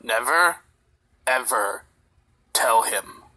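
A woman's voice: very deep voice, expressive, drawn-out words, exaggerated voice, low-quality recording. A woman voicing an uptight, weary, exasperated skeleton scientist in a cartoon comic dub. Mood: uncomfortable. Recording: music over played-back video.

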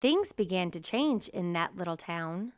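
Female picture-book narrator: unhurried, deliberate, smooth clear voice, close dry sound. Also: on the low side, lively intonation, dry recording. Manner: measured, deliberate storytelling. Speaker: storybook reader. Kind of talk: children's picture book read-aloud.

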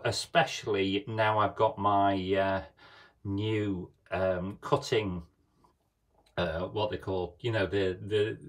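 A man in his fifties filming himself in a small boat cabin on a phone, explaining a wiring job in a relaxed, hesitant way with many fillers. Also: lowish voice. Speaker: sailing vlogger. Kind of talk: casual how-to vlog. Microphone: handheld phone camera.

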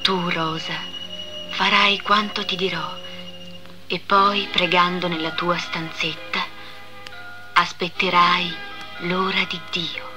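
Slow, solemn heavenly Madonna. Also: female voice, on the low side, level tone, over music. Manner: solemn, stately. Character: serene heavenly Madonna in a vision.

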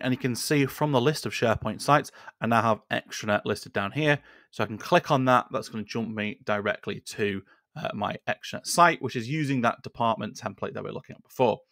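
A man in his thirties hosting a screen-share software tutorial, talking in a relaxed, conversational way with frequent fillers from a desk in a small indoor room. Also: on the low side, creaky, no echo, studio quality. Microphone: studio microphone on a boom arm.

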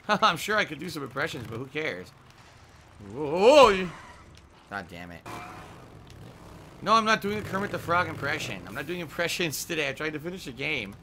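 A man's voice: a little high, big pitch swings, normal speed, pauses often, slight background noise. A man in his thirties streaming a game from a small room on a headset mic, ranting and swearing in frustration. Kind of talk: live gameplay commentary. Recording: game sounds loud behind voice.

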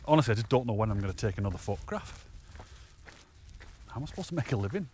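A man's voice: lowish voice, animated, rapid-fire, a little mellow, slight background noise. A man, chatting cheerfully to camera while hiking on an open fell top, often laughing. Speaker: hiking vlogger. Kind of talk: casual walking commentary to camera.

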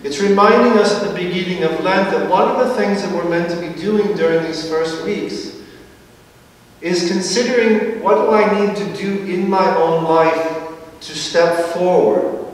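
A middle-aged man preaching a sermon from a church pulpit, explaining at an easy pace with slow, emphatic phrases and a dry touch of humor. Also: high voice. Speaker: priest. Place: large church sanctuary, at a pulpit. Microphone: far camera. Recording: echoey church from far off.

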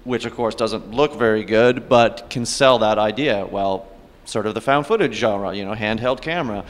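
A man's voice: on the low side, normal speed. A man in his thirties from Canada, a film director answering interview questions with dry, understated humor. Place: festival press wall, indoors. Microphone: host's handheld mic. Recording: reverberant hall.

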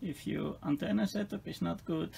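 A man's voice: fairly high pitch, normal speed, gravelly, dark tone. A man giving an informal, hesitant, unscripted hands-on demonstration at an indoor workbench. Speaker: host demonstrating radio equipment. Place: indoor electronics lab bench. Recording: noticeable background noise in workshop.

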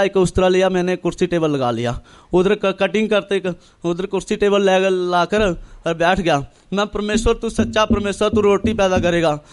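Man, high pitch, clear smooth voice, earnest testimony, halting storytelling. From northern India. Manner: earnest, halting, repetitive, acts out reported dialogue. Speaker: church member giving testimony. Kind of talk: personal religious testimony, storytelling.

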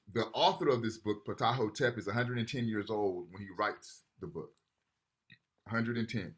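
A man's voice: on the low side, fairly bright tone. A man teaching a university class over a video call, talking casually and often checking in with "right?" while reading texts aloud. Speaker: lecturer.

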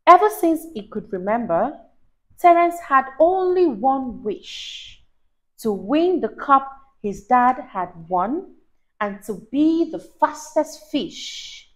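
A young woman reading a story aloud to a child, expressive and teacher-like, emphasising words and asking questions. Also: high-pitched, slow pace, rough recording. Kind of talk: animated read-aloud to a child. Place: small indoor room, on a sofa. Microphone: clip-on lapel mic.

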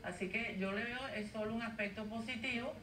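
A woman guest on a TV news interview, arguing her case in an earnest, measured way over a video call. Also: fairly high pitch, fairly even intonation, crowd noise. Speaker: guest advocate. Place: home studio via video link. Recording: roomy, distant, faint noise, played off a TV.

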